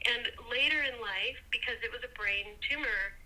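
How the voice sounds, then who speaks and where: A woman's voice: a little high, normal speed, sharp tone, poor audio. A woman telling a personal story casually as a caller, rambling with emphatic repetition and casual swearing. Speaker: caller seeking tech help.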